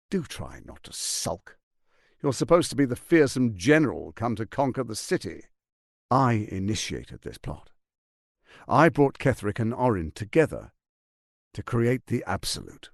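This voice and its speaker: An actor playing a suave, ambitious, scheming lord in a video game, speaking in a theatrical, articulate and condescending way. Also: male voice, lowish voice, creaky, no room sound, studio quality, heavily acted. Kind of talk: dramatic video game voice acting.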